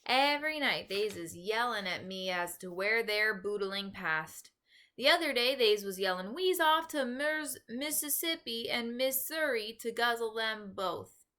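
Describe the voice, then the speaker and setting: A woman voicing a stubborn, gentle, pessimistic male giant with garbled folksy grammar in a children's storybook. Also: on the high side, expressive, unhurried. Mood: resigned. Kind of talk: children's storybook read-aloud.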